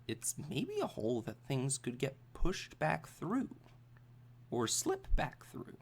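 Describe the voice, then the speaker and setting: A game master with a medium-pitched voice improvises narration for a tabletop role-playing game. The delivery is conversational and halting, with phrases repeated while the next idea forms. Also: huge pitch swings, breathy edge.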